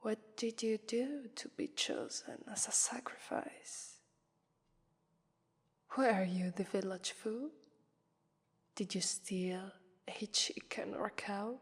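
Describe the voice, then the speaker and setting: A woman with an Italian accent voicing a haughty, mocking female vampire who toys with her prey in a theatrical ASMR roleplay. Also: mid-range voice. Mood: cold, condescending. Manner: mocking, theatrical, drawn-out phrasing with breathy whispers. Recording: long reverberant hall echo.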